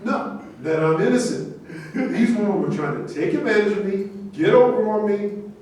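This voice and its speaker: A man's voice: high-pitched, normal speed, a little mellow, close to an everyday voice. A man in a staged reading playing a proud, self-righteous accused man, emphatic and defensive. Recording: very echoey, distant mic, background hiss.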